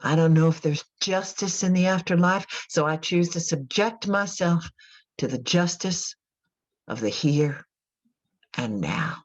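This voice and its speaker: A woman acting in a radio drama as an anguished, guilt-ridden mother in prison, speaking in a halting, confessional way. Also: on the low side, highs cut off. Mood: bleak, lost. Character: guilt-ridden imprisoned mother who killed her daughters.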